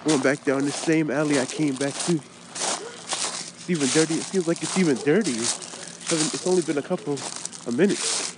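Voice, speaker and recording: A man's voice: fairly high pitch, moderate pace, pauses often, background noise, lightly acted. A young man playing a brash, chatty young thief who rambles to himself while walking an alley, recorded on a close-held phone outdoors. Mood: grumbling. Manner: talks to himself in a restless ramble.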